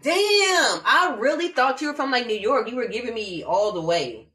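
A woman from Omaha, a laughter yoga teacher, chatting casually in an animated, slangy way. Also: on the high side, normal speed. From Omaha, Nebraska. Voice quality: animated, slangy, spiritual talk with bursts of laughter. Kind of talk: casual chat.

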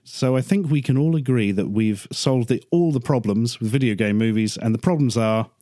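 A man on a casual podcast panel with a dry, deadpan wit. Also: mid-range voice, normal speed, dry recording. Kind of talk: casual discussion.

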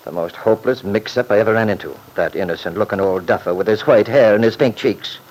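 An actor playing a proud, stubborn small-town lawyer in an old-time radio soap, blustering and indignant. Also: male voice, fairly low pitch, fast, a little mellow, close to an everyday voice, low-quality recording. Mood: indignant boastful.

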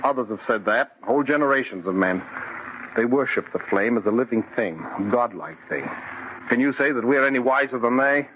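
An actor playing a dreamy, obsessive young bridegroom in an old radio horror drama, speaking with rapt, insistent intensity. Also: male voice, medium pitch, animated, fairly quick, natural voice. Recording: dull, noisy vintage radio recording.

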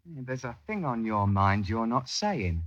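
An actor playing a wary, nervy young thief of Georgian London, with clipped, suspicious delivery in old radio drama style. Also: male voice, mid-range voice, very animated, measured pace, dry recording, dull audio. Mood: wary, curious. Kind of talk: 1950s radio drama acting.

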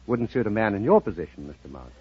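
A man's voice: fairly low pitch, very animated, fast. A man answering questions earnestly and a bit hesitantly as a quiz show contestant. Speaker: quiz contestant, interior decorator. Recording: dull old radio recording, faint noise.

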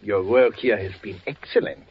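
A man's voice: on the low side, moderate pace, slight background noise, lightly acted. Casting: a man doing a bustling German factory chief with a German accent, 1940s radio play, muffled old broadcast. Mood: officious. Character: fussy German factory boss, middle-aged man.

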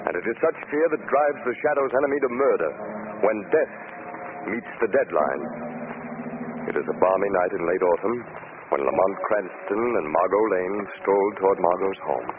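An actor narrating a 1940s radio crime drama as a grave, ominous storyteller. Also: male voice, sits low, moderate pace, close to an everyday voice. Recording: scratchy, tinny 1940s radio recording.

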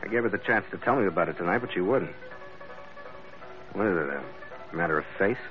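An actor playing a tough, brisk American ship captain who narrates his adventure in an old-time radio drama, terse and hard-boiled. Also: low-pitched, expressive. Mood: probing. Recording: dull old radio recording with music.

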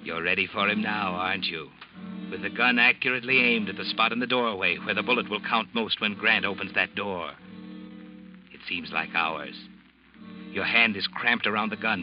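An actor playing an ominous, insinuating narrator who taunts a guilty schemer in old-time radio suspense style. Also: male voice, fairly low pitch, moderate pace, close to an everyday voice. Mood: ominous, taunting. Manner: insinuating, taunting second-person address. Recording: muffled old radio recording with loud music.

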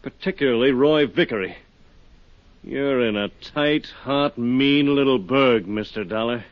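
A man's voice: mid-range voice, unhurried, no echo, lightly acted. Bitter American architect, beaten down and wry, played by a man for vintage radio drama, middle register, dry muffled sound. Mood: bitter, resigned. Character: bitter, beaten-down small-town male architect. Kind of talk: 1950s radio acting.

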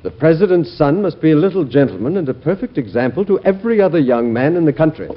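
An actor playing a stern, controlling presidential secretary with a formal, clipped manner in an old radio drama. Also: male voice, a little high, sing-song, quick pace. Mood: stern, scolding. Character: officious, cold, controlling presidential secretary, a grown man. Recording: muffled old radio recording.

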